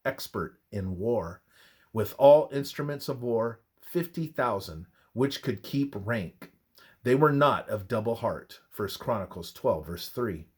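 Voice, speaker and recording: A man reading a scripted religious study with scripture citations in a measured, expressive, oratorical manner. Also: medium pitch, husky.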